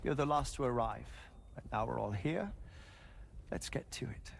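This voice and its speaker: Creaky, expressive, erudite scientist. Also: male voice, mid-range voice, slight background noise. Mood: businesslike, affable. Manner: formal, wordy, measured, with soft chuckles. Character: genial, ailing, erudite male scientist resigned to heartbreak. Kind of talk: cinematic video game cutscene acting.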